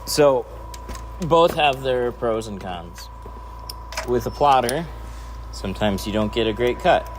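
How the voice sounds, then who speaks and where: A man's voice: medium pitch, lively intonation, slow pace, long pauses, slight background noise. A man hosting a casual livestream, answering viewer questions while he tints a truck in a workshop. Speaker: window tint installer hosting a livestream.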